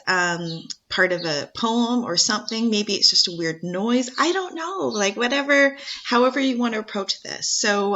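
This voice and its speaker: A woman teacher talking students through a screen-share software tutorial in a chatty, encouraging way. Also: mid-range voice, highs cut off.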